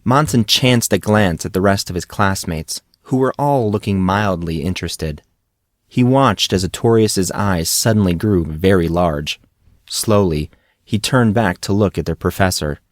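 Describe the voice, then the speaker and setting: Man, audiobook narrator, scripted fantasy storytelling, low pitch, dry recording. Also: unhurried, dry recording.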